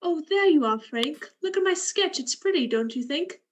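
A woman playing a sweet, wistful young woman in love, speaking gently in radio drama style. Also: sits high, expressive, moderate pace, slight background noise. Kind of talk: 1940s radio drama acting.

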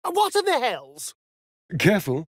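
An actor playing a sardonic, flirtatious and vain vampire rogue in a video game, with an arch, theatrical drawl. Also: male voice, very high voice, wildly swooping pitch, measured pace, dry recording, clean studio sound, exaggerated voice. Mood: alarmed, irritated. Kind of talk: video game character acting.

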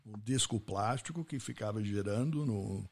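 A man with a husky voice, hosting the podcast and sharing his own stories in a reflective way. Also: fairly low pitch, animated, normal speed, darkish tone. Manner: reflective, anecdotal. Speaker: podcast host. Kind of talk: casual interview talk.

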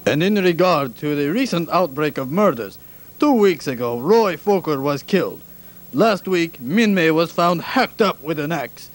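A man's voice: a little high, expressive, measured pace. A man voicing a pompous, long-winded middle-aged ship commander in a fan parody redub of a cartoon. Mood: rambling.

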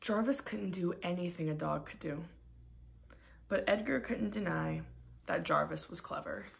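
A young adult woman reading a children's picture book aloud in a deliberate, expressive storytelling manner. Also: lowish voice, creaky. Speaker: storybook reader. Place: small room at home, indoors.

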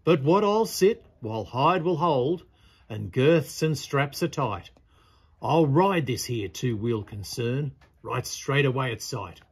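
A man's voice: mid-range voice, animated, slow pace, breathy edge, exaggerated voice. A man voicing a cocky, boastful bushman with a pompous, bragging manner in a storybook poem.

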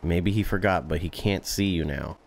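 A man's voice: low-pitched, normal speed, creaky, a little hiss or hum. A young man playing a game, casually reading dialogue aloud in a blunt way. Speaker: gameplay streamer. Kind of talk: game playthrough, reading dispatcher lines aloud with reactions.

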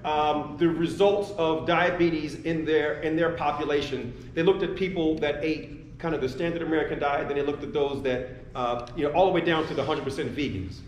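A man's voice: on the high side, fairly even intonation, normal speed, few pauses, a little hiss or hum. A man on a panel, answering questions in a rambling way and repeating his words. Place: retail sports store, indoors. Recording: echoey store room.

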